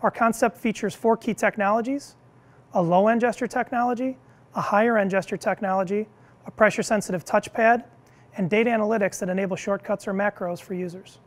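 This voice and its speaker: A middle-aged man leading product innovation, presenting a car cockpit demo in a measured, clear, scripted way in an indoor demo room. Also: high-pitched, fairly even intonation. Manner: measured, clear explanatory delivery.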